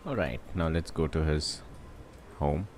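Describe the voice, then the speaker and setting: Very deep-voiced young Indian streamer man. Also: a little slow, a little mellow. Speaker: game streamer. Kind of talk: casual livestream chat. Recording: game music behind the voice. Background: video game music.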